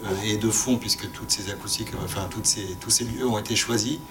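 A man speaking as a sound editor on a panel, informal and halting, often restarting his phrases. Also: steady tone. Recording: background noise with low rumble.

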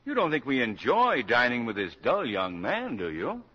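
An actor playing a jovial, fussy college dean with a husky voice and hearty, formal manner in 1940s radio style. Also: male voice, mid-range voice, wildly swooping pitch, moderate pace, sharp tone. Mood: hearty, genial. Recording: muffled old 1940s radio recording.

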